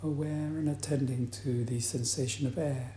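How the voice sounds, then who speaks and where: A man leading a guided meditation as a teacher, speaking in a calm, deliberate manner with long pauses. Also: mid-range voice. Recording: roomy echo, faint background noise.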